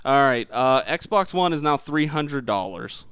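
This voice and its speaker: A man with a smooth, clear voice talks casually as a podcast co-host. His pitch moves around in a lively way, and the sound is close and dry, as in an easy gaming chat. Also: unhurried, no room sound.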